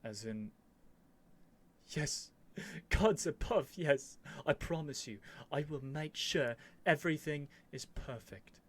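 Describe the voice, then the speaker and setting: A man playing an earnest, nervous young prince devoted to a dragon, in a halting, emotional ASMR roleplay addressed to the listener. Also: fairly high pitch, lively intonation, fairly bright tone, exaggerated voice. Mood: stunned, grateful, then giddy, overjoyed. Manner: halting, stammering, breathy sighs and whispers, emotional.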